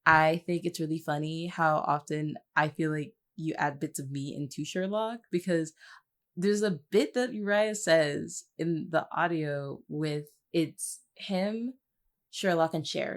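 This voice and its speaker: A woman's voice: sits low, a little slow, creaky. A woman chatting casually as a podcast guest, informal and wry with a teasing way of talking.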